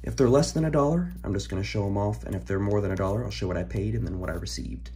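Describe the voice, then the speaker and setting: A hoarse young man, recovering from an illness, chatting casually as he opens trading card packs at his desk, rambling with ums and throat clearing. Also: fairly low pitch, fast talker, mellow, a little hiss or hum. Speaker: card-collecting channel host. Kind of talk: casual pack-opening chat. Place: home room, filmed over a desk.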